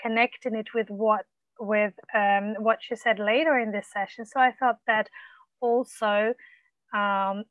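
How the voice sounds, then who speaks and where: A woman coach mentor, whose first language is not English, giving supportive, measured feedback with frequent pauses and ums. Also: mid-range voice, no room sound, phone-quality sound.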